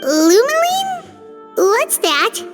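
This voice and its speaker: A tiny, chirpy female companion from a video game, nosy and quick to tease. Her voice sits extremely high and bounces all over, stretched out slowly. Music plays clearly behind her. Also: huge pitch swings, full character voice. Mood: curious. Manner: nosy, teasing, blunt.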